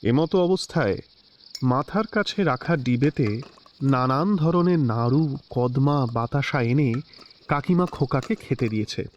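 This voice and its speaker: Expressive male storyteller, lively delivery. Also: mid-range voice, slight background noise. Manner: measured, expressive literary narration. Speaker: narrator. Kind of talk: scripted storytelling narration. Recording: slightly dull lo-fi recording with soft music.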